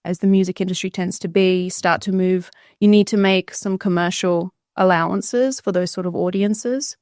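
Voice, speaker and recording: A woman's voice: on the low side, steady tone, normal speed, darkish tone, no room sound, studio quality. A woman, an articulate podcast guest telling a personal story conversationally and fluently. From Australia, born in Bulgaria.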